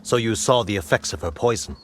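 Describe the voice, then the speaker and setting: An actor playing a wary, hard-bitten island raider leader in a video game, with a rough, husky voice and a blunt, challenging manner. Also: male voice, fairly low pitch, fast, slight background noise, lightly acted. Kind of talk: dramatic video game cutscene acting.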